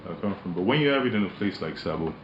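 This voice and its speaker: Company director guesting on radio, very deep imposing voice, slow measured delivery, roomy dull studio sound with noise behind. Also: male voice, lively intonation. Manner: measured, hesitant with many fillers. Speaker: managing director interviewed as guest. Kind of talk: radio interview, relaxed business talk.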